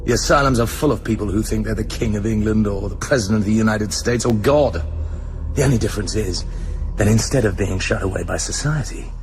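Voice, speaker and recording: Guy acting british spy in a radio play, lowish voice, cool, blunt, not impressed by anyone. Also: a little fast, over music, natural voice. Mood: defiant. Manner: blunt, unimpressed, assured. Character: cool, defiant British secret agent. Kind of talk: broadcast radio drama acting.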